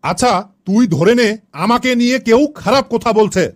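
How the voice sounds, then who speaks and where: A man's voice: very high voice, fairly quick. A man voicing a schoolteacher in a comedy cartoon, quizzing and ordering pupils in a familiar, commanding way. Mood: commanding, questioning. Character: male schoolteacher quizzing his pupils, stern but approving. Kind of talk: Bengali comedy cartoon voice acting.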